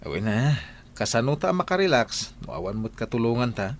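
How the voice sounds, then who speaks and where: An actor playing a breezy, pleasure-loving employer of maids in an Ilocano radio comedy. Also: male voice, medium pitch, sing-song, fairly quick. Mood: eager.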